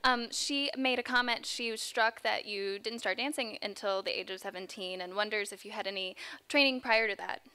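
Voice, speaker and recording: A woman's voice: mid-range voice, moderate pace, sharp tone, clear, resonant tone. A woman moderating an audience question and answer session on an outdoor stage. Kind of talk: hosting an audience Q&A.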